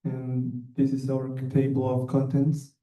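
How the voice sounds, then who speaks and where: A young man, a student club president, giving a hesitant, halting conference presentation. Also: medium pitch, monotone, lo-fi sound. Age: young adult. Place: indoor conference room. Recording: echoey room, distant microphone.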